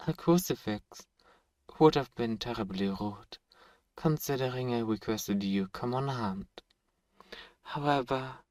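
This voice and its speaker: A man playing a suave, possessive vampire in an ASMR roleplay, courtly and seductive with teasing menace and dramatic pauses. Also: on the low side, no room sound. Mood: curious, covetous. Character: suave, sadistic, possessive male vampire host.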